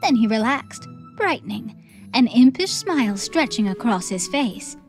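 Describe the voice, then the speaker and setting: A woman's voice: fairly high pitch, very animated, a little slow, soft background music. A woman reading scripted fantasy storybook narration as an audiobook narrator.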